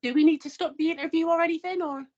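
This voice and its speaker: A woman's voice: very high-pitched, fairly even intonation, very fast, a little mellow, lo-fi sound. A woman hosting an interview in a casual, chatty style. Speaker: interviewer, host.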